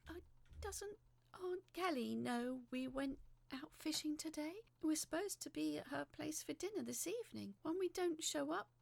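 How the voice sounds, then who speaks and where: A woman's voice: sits high, lively intonation, moderate pace, gravelly, darkish tone, no echo, pitched above the performer's own voice, full character voice. A woman voicing a frightened daughter, anxious and pleading but clinging to hope, in an audio horror story.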